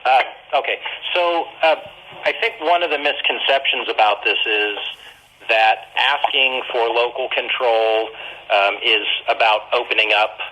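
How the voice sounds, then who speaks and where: A man with a husky voice giving an official report at a public meeting in a halting, diplomatic way. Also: medium pitch, bright, forceful tone, poor audio. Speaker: county administrator.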